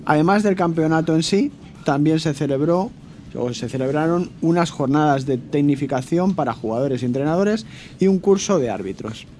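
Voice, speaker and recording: A man's voice: on the high side, normal speed, noisy background. A man presenting a live radio news bulletin.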